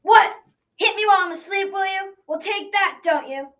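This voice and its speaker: A cruel, quarrelsome storybook giant acted by a young girl with a high, bossy voice that scolds and orders everyone about. It comes from a little way off the mic, with faint noise, as in a school radio play. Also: fairly quick, a bit bright, recorded from a distance. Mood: furious. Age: child about 12 to 13. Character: cruel, bickering giant of a fairy tale. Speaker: student actor in a school radio drama. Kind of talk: amateur radio drama acting.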